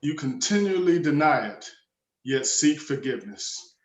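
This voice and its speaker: A man's voice: a little high, big pitch swings, unhurried. A man playing a grizzled, strict monastery superior in his fifties, in a dramatic reading with an echoey room sound. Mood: stern rebuke.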